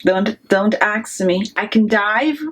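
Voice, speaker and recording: A woman's voice: medium pitch, slow pace. A woman in her thirties from Jamaica with a strong Jamaican accent, chatting casually to camera and laughing often. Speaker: beauty vlogger. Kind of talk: casual vlog chat.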